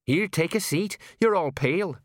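A man voicing a fussing, slow-witted sergeant. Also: fairly high pitch, lively intonation, no echo, clean studio sound, pitched above the performer's own voice, lightly acted. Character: plodding middle-aged male sergeant, slow and fussing.

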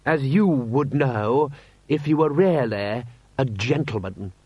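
A man's voice: fairly high pitch, expressive, unhurried, dry recording, voice pushed up. A man voicing a haughty, stiff military lord with pompous, condescending formal speech.

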